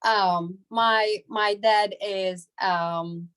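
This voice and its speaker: A woman from Hungary in her thirties, a native Hungarian speaker and translator, sharing a personal story on a video call, halting and laughing often. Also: mid-range voice, steady tone, fairly bright tone, smooth.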